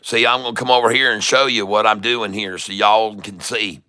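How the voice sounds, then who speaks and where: Bright, forceful Southern country-boy man. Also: on the low side, fairly even intonation, normal speed, smooth. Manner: exaggerated folksy country-boy drawl, cocky and boastful; chuckles often, swears casually. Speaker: livestream stock trading host. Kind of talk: casual livestream chat, hype trading commentary.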